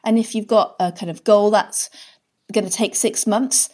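A British woman speaks as a guest in a casual interview. Her voice sits at a middle pitch, and she is chatty and candid. She explains things through personal examples, often voicing her own self-talk. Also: fairly even intonation, normal speed, darkish tone. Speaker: guest, scientist and writer.

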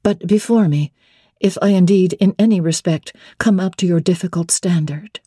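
A woman's voice: fairly low pitch, normal speed, dark tone, breathy edge, dry recording, studio quality. Woman playing an earnest, candid young bride-to-be. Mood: questioning. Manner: candid, firm, formal. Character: earnest, plain-spoken young bride-to-be, proud and wary. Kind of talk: dramatic classic novel reading.

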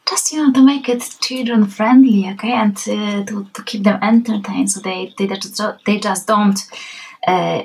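Polish lady guest, husky gravelly voice, soft and easy, lots of little uhs. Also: mid-range voice, rough recording. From Poland. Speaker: guest, children's book author. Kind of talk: casual interview chat.

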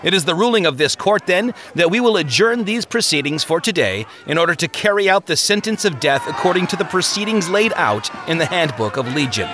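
A man's voice: sits high, animated, a little fast, few pauses, noisy background, voice pushed up. A man voicing a pompous, self-satisfied cruel judge in a dramatized story, declaiming his sentences. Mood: smug, condemning.

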